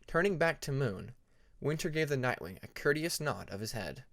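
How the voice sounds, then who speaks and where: A man narrating a fantasy story as an audiobook, with expressive scripted delivery. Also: medium pitch, normal speed, gravelly, no room sound. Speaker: audiobook narrator of fan fiction.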